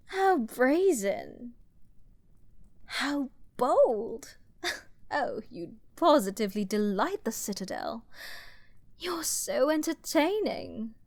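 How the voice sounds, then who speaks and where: A vain, theatrical princess in an intimate fantasy audio roleplay. Her voice is fairly high and she lingers very slowly over ornate phrases, teasing and flirting with regal disdain. The sound is close and dry. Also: a little mellow, no echo, full character voice. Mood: delighted, teasing. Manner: haughty, grandiose, playful aristocratic drawl with ornate diction. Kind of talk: scripted dramatic ASMR roleplay addressed to the listener.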